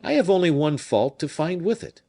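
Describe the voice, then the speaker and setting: A man's voice: a little high, big pitch swings, fairly quick, dark tone, voice pushed up. A man reading an audiobook, voicing a blunt, shrewd male musketeer captain who speaks frankly and with animation.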